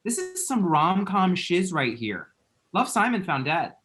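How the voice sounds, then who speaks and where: A man's voice: high voice, big pitch swings, unhurried, a bit bright, exaggerated voice. A man acting a dramatic, lovestruck man in his late twenties in a rom-com script read, rambling and quippy. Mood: excited, insistent. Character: dramatic, lovestruck, scrolling-obsessed twenty-seven-year-old man. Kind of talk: screenplay table read, rom-com dialogue.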